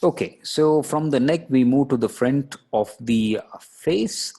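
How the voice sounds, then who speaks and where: A man lecturing as a radiologist, explaining case images step by step in a halting, explanatory way. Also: mid-range voice, dark tone.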